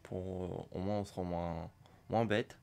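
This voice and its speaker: Man with a cold, low voice. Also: steady tone, measured pace, vocal fry, mellow, slight background noise. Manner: rambling, many hesitations. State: has a cold, hoarse. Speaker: gaming youtuber. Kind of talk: casual pack-opening commentary.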